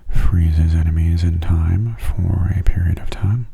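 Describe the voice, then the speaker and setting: A man giving soft-spoken, half-whispered ASMR commentary over a video game, in a gentle, unhurried way. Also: low voice, vocal fry, husky.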